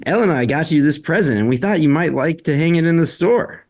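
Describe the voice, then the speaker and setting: Man playing a lively, gravelly-edged supportive partner. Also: on the high side, quick pace. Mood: warm. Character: supportive, thoughtful husband or boyfriend. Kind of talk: table read of a script.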